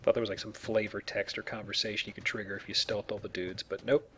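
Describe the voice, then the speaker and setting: A man's voice: fairly low pitch, little pitch movement, fast, sharp tone, a little hiss or hum. A man giving casual, rambling game commentary with hesitant self-corrections. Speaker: let's play commentator.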